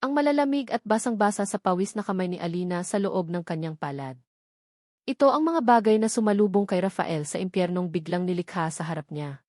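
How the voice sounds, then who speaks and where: A woman reading a scripted story as a narrator, in a measured, dramatic way with emphatic phrasing. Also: medium pitch, a little mellow, dry recording, studio quality.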